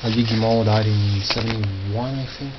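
Low-voiced man, muffled noisy recording. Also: fairly even intonation, moderate pace. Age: middle-aged. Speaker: record collector showing his collection. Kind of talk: casual off-the-cuff commentary. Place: small tiled indoor room by storage crates. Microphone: handheld camera held by the speaker. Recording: muffled, noisy low-grade camera audio with clicks.

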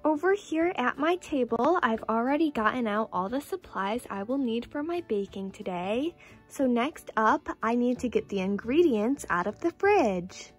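A cheerful doll girl, played by a woman, speaks in a fairly high voice over music. She is sweet and enthusiastic, explaining things step by step like the host of a toy play video. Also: animated, moderate pace, slightly rough. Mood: cheerful, instructional. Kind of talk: scripted doll play narration.

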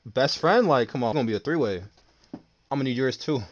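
A man's voice: mid-range voice, expressive, fast, dull audio, over loud music. A man in his twenties from New Jersey, a streamer flirting playfully with strangers on video chat through a headset. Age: 20s. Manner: smooth-talking, playful, slangy. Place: small room, indoors.

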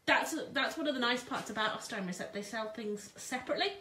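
A woman's voice: on the high side, quick pace, bright, forceful tone, recorded from a distance, slight background noise. A middle-aged woman, chatting and joking about toys in a toy shop. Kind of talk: casual product demo chat. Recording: echoey room, off-mic.

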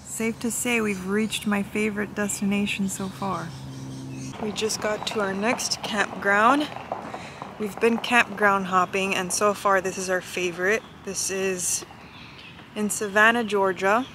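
A young woman vlogs in a casual, informal way, with a medium-pitched voice. Her thoughts tend to trail off as she talks to a handheld camera. There is clear ambient noise behind her. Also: fairly even intonation, measured pace. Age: young adult. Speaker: vlogger. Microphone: handheld camera at arm's length.

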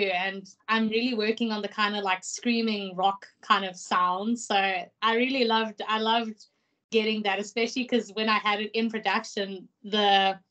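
A woman's voice: fairly high pitch, a bit bright. A young woman from South Africa talks in an easy, enthusiastic way, full of casual fillers. She is on a video call from a small room and picked up by a laptop mic. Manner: chatty, enthusiastic, many "like" fillers. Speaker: singer guest.

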